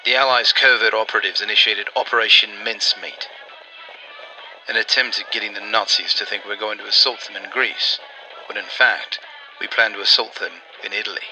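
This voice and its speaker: An actor playing an earnest, persuasive Allied intelligence operative in a 1940s-style radio drama, explaining things deliberately. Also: male voice, fairly low pitch, steady tone, bright, forceful tone, soft background music, low-quality recording. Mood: explanatory.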